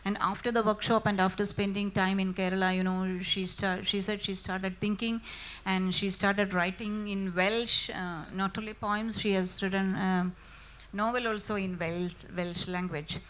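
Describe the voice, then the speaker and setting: A woman moderating a literature festival panel, asking quick, informal questions with frequent restarts. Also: medium pitch, flat delivery, fairly bright tone. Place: festival stage.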